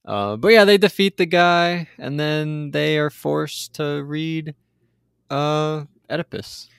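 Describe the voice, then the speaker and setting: A man co-hosting a podcast, recapping a TV episode in casual, animated chat with mocking asides. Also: fairly high pitch, takes it slowly, vocal fry, clean tone, no echo, clean studio sound. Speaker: podcast co-host.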